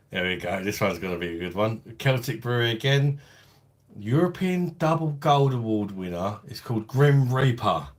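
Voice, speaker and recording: A man, casually chatting and laughing while hosting a beer review live stream on his phone. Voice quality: deep voice. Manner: laid-back banter, laughs often, swears.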